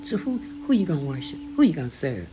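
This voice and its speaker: Low-voiced woman reading devotionally. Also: very animated, quick pace. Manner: earnest, conversational, addresses listener as beloved. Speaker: author and podcast host. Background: background music.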